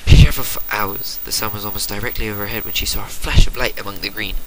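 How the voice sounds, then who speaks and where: A man's voice: on the low side, steady tone, fast. A man giving a theatrical dramatic reading of a story, stumbling now and then as an amateur reader. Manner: theatrical narration with stumbles and exasperated asides. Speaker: reader of fan fiction. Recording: noisy amateur recording with clicks.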